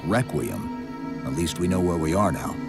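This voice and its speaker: A man's voice: deep voice, expressive, measured pace, creaky, over loud music, full character voice. A man playing a stoic armored supersoldier in a video game, speaking in terse, clipped military phrases. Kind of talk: video game cutscene acting.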